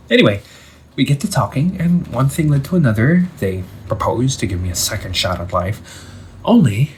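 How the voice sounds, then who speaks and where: A man playing a vengeful undead older brother in an audio roleplay, menacing yet wounded, speaking in a theatrical, taunting way with drawn-out pauses. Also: mid-range voice, slight background noise. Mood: sinister, playful. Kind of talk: scripted audio roleplay monologue addressed to the listener.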